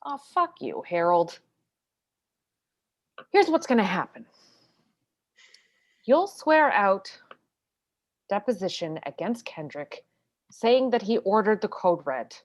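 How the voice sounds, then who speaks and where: A woman's voice: medium pitch, very animated, slow pace, long pauses. A woman acting an exasperated, combative military defense lawyer in a stage play read-through, pressing hard with sarcastic questioning. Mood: frustrated.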